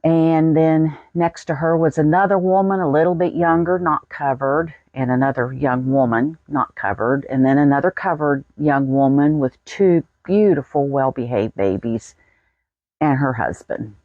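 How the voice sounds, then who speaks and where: A woman's voice: low-pitched, normal speed, no echo, narrow, tinny audio. An American woman in her sixties telling a personal story in a folksy, plain-spoken way. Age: 60s. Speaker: vlogger.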